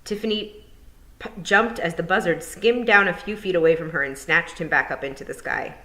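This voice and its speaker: A woman's voice: lowish voice, normal speed, fairly bright tone, low-quality recording. A woman narrating a children's book expressively, as a teacher would at storytime.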